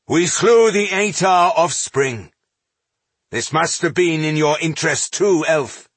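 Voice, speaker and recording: A man's voice: fairly high pitch, slow, fairly bright tone, dry recording, pitched above the performer's own voice. A man voicing a proud, callous male dwarf warlord who styles himself king, speaking dismissively in a fantasy audiobook. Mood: defiant. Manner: haughty, dismissive.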